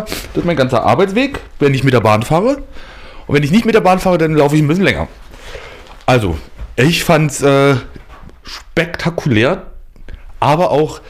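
A man with a slightly high voice hosts a casual audio diary. His delivery is halting and rambling, and his pitch moves around in a lively, animated way. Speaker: host and creator of an audio piece.

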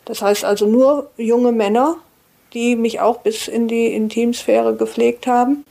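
Creaky, dark-toned woman interviewee. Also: on the high side, steady tone, measured pace. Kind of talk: personal account.

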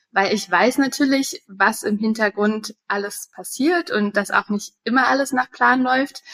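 Woman from Berlin, 30s, mid-pitched, relaxed guest with lots of fillers. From Berlin, Germany. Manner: relaxed, with many fillers and light laughs. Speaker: guest, wedding agency owner. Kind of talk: podcast interview.

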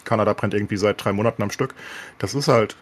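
A man with a fairly low voice talks very quickly. He explains things in a loose, rambling, colloquial way, as a podcast co-host chatting casually with English slang mixed in. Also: fairly even intonation.

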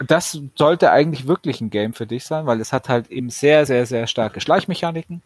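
A man co-hosting a casual podcast chat, enthusiastic and quick to swear, often restarting his sentences. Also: medium pitch, no room sound, clean studio sound. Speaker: podcast co-host.

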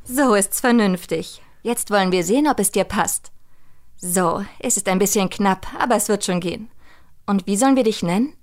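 A woman playing a kind young fairy-tale heroine in the manner of 1950s animated dubbing, soothing and motherly with old-fashioned diction. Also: medium pitch, moderate pace, natural voice.

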